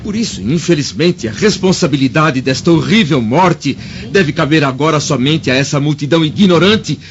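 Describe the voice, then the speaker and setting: An actor playing a proud, troubled Roman senator in a radio drama, speaking formally like an orator. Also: male voice, fairly high pitch, animated, normal speed, faint music behind, lightly acted. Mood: troubled, disdainful. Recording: dull recording with faint noise.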